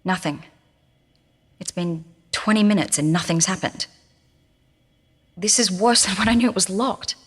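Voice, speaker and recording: An actress playing a distrustful, frightened young captive, fretfully questioning herself in an audio drama recorded with long echo. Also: female voice, mid-range voice, unhurried, pauses often, natural voice. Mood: uneasy. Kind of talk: found-recording audio drama, spoken journal. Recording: long reverberant room echo.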